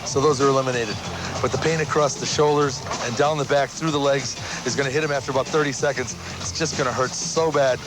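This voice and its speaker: A man giving color commentary on a strongman competition as a former strongman, with quick, emphatic analysis. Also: mid-range voice, steady tone, over music, poor audio. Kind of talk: sports commentary.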